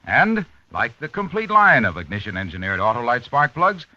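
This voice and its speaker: Male announcer, 1950s radio ad, polished and salesmanlike; piercingly bright, dry dull recording. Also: medium pitch, very animated, moderate pace, no echo. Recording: dull, lo-fi vintage radio broadcast.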